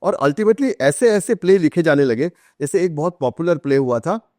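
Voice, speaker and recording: Male interview guest, Indian accent, slightly high voice, dry close sound. Explains emphatically, with repeats and rhetorical questions. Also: lively intonation, normal speed, a little mellow, dry recording. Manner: mixes Hindi with English phrases. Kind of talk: explanatory conversation with anecdotes.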